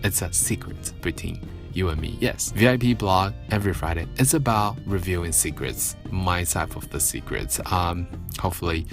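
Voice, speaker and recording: A low-voiced man chatting on his evening radio show from a studio mic, rambling and joking at his own expense. Faint music plays quietly behind him. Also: normal speed, vocal fry. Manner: chatty, self-mocking, rambling. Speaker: radio host. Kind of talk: casual solo radio talk.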